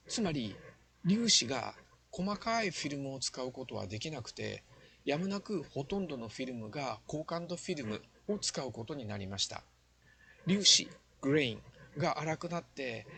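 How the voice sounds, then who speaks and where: A man's voice: medium pitch, creaky, bright, forceful tone, a little hiss or hum. An older man, speaking earnestly and explaining as he looks back in an interview. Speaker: former amateur filmmaker, interviewee. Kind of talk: reflective retrospective interview talk.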